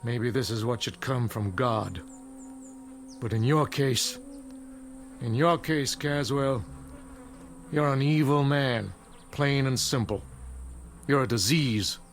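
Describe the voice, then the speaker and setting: An actor playing a grave older American frontier judge, weighty and deliberate, in a radio drama. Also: male voice, mid-range voice, faint music behind. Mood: solemn, condemning.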